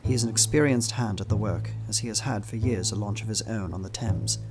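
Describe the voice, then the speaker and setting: A man's voice: on the low side, a little fast, close to an everyday voice. A man playing an anxious, determined young Victorian husband, reading his journal in a grave, formal manner for a radio play. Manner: grave, brooding, formal old-fashioned phrasing. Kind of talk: dramatized diary narration in a radio play. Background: plucked guitar music.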